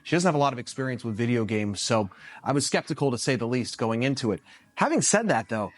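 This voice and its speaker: A man in his thirties, a stay-at-home dad, reviewing a toy in a chatty, upbeat way, his voice husky from a cold. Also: medium pitch, big pitch swings, fast talker, no room sound. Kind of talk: casual product review to camera.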